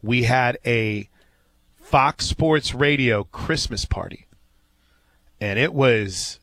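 A man hosts live sports radio in a close, dry-sounding studio voice of middling pitch. He chats and tells stories casually, with folksy exclamations. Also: takes it slowly, a bit bright, dry recording.

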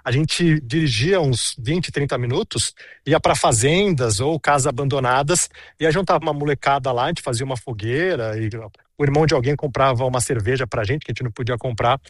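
A man's voice: medium pitch, brisk, no echo, studio quality. A man in his thirties giving a chatty on-location report, telling stories with many asides. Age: 30s.

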